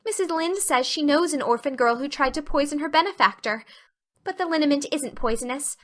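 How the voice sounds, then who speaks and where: A woman's voice: high-pitched, animated, brisk, pitched above the performer's own voice. A woman voicing an effusive, dramatic orphan girl in an audiobook reading. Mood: tearful despair. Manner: effusive, melodramatic.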